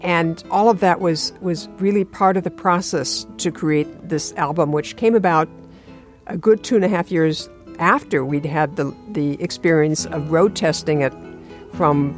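A woman with a somewhat low voice speaks as an interview guest and talks about her craft in a measured, articulate way. Faint music plays softly underneath her. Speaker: classical guitarist, interview guest. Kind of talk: interview conversation.

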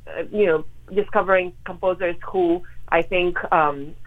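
A woman's voice: fairly low pitch, steady tone, normal speed. A woman from Ukraine, a pianist, talking about her work in a phone interview, earnest and full of ums and uhs. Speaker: pianist guest. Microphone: telephone. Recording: tinny phone line.

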